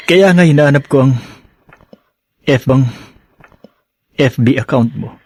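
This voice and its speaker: An actor playing a devoted, humble man in love in a radio drama, speaking haltingly and earnestly. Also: mid-range voice, darkish tone, dry recording, lightly acted. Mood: earnest, lovestruck.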